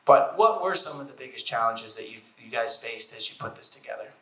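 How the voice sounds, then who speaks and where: A man's voice: mid-range voice, animated, a little fast, fairly bright tone. A man interviewing an author onstage, asking friendly, hesitant questions. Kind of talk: casual onstage conversation. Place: festival event room. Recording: echoey room.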